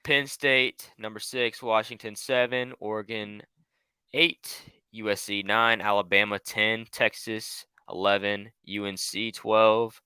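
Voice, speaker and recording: A man's voice: on the low side, steady tone, slow pace, pushed and bright, clean tone, dry recording. A young man co-hosting a casual sports podcast, easygoing and laughing often. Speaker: podcast co-host.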